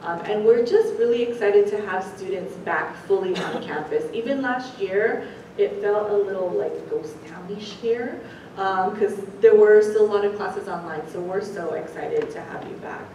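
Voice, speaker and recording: American woman doing a chatty orientation welcome, really echoey room, kinda far from the mic. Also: warm tone. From San Francisco Bay Area, United States; born in the Philippines. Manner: chatty, halting, informal. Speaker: orientation presenter, university associate director. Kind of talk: informal welcome talk to new students. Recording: echoey room, distant mic, rumbling noise.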